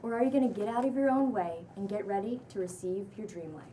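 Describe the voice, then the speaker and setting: A woman playing a calm, friendly but stern non-binary social media guru in their thirties at a script table read, earnest and measured. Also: medium pitch, natural voice. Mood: urging, reassuring. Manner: earnest, measured motivational delivery. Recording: distant mic, faint room noise.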